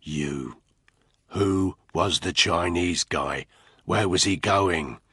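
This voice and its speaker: A male narrator voices a hard military operative from a thriller audiobook. He is curt and menacing, with a low, husky voice recorded dry and close. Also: very slow, sharp tone, no room sound, full character voice. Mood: cold, menacing.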